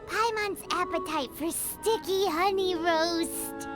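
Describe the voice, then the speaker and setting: A woman voicing a tiny, cheeky female fairy companion in a video game, teasing and blunt. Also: slow. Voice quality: high, squeaky, childlike. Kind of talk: video game cutscene dialogue. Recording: game audio with loud music behind.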